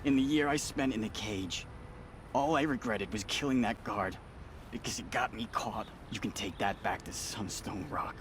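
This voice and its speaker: An actor playing a cynical, unrepentant escaped convict and smuggler in a video game, speaking with a dry, sardonic manner. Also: male voice, fairly low pitch, very animated, moderate pace, creaky, background noise. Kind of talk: dramatic video game acting.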